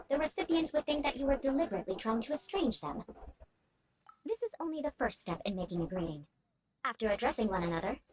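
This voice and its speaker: A woman's voice: on the high side, natural voice, rough recording. An actress voicing a haughty, prim young noblewoman in a video game, speaking formally and imperiously with ornate phrasing. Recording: game music behind the voice.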